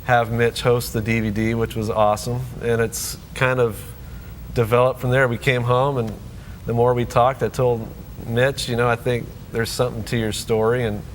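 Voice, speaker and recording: A man's voice: medium pitch, flat delivery, moderate pace, a little hiss or hum, low-quality recording. A man from Hong Kong, a tour producer, chatting easily as an interview guest, joking and chuckling often. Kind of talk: relaxed conversational interview.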